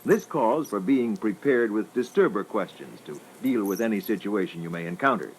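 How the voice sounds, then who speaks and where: Low, animated male instructor. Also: moderate pace. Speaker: moderator of sales training record. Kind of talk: scripted instructional narration. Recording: faintly noisy old reel-to-reel training record.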